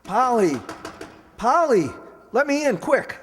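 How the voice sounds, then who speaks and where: An actor playing an earnest, flustered young son in love, emphatic and pleading, in a radio comedy. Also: male voice, very high-pitched, big pitch swings, slow pace, a bit bright, a little hiss or hum, full character voice. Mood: urgent. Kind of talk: old-time radio comedy acting. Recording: reverberant hall, stage radio play.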